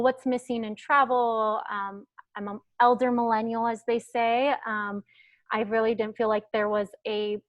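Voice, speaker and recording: A woman's voice: medium pitch, no echo, muffled recording. A woman in her late thirties from the Pacific Northwest, telling a story on a video call with many ums. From United States, Pacific Northwest. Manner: thoughtful storytelling with many fillers. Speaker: guest speaker, travel company founder. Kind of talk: webinar talk.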